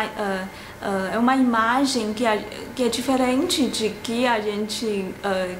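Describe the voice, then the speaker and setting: A woman's voice: mid-range voice, a little hiss or hum. Hesitant woman with a Chinese accent. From China. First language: Mandarin. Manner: halting, many "ah" pauses while searching for words. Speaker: graduate student and language teacher. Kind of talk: interview answers to camera. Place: indoor office room with a globe and plants. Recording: roomy with audible background ambience.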